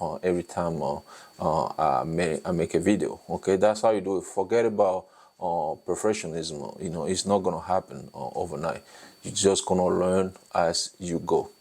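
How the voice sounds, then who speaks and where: A man with a very deep, low voice and a non-native English accent, speaking to camera like a coach for beginners. He talks in a loose, encouraging way, repeating his points and checking in with a frequent "okay?" between plenty of fillers. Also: a little mellow. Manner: encouraging, repetitive, many fillers and "okay?" tags. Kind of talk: talking-head tutorial to camera.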